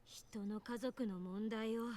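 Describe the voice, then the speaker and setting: An actress playing a guarded, sharp-tongued young woman in a visual novel. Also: on the high side, steady tone, normal speed, lightly acted. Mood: angry. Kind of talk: Japanese visual novel voice acting.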